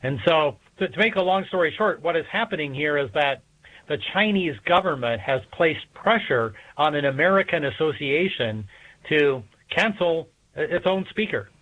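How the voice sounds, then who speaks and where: Measured male academic guest, dull sound. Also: on the high side, animated. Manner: hesitant, measured, pausing between phrases. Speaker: guest expert, political science professor. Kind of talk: interview answers, explanatory. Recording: dull lo-fi remote radio connection.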